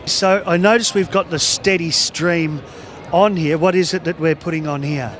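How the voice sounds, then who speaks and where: A man's voice: on the high side, fairly even intonation, moderate pace, fairly bright tone, a faint crowd. A man casually interviewing about products on location at a trade show. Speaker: interviewer from an audio shop.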